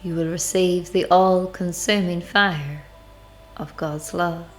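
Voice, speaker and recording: A woman leading a devotional guided meditation, speaking in measured, deliberate phrases with pauses. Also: on the low side, fairly even intonation. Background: background music.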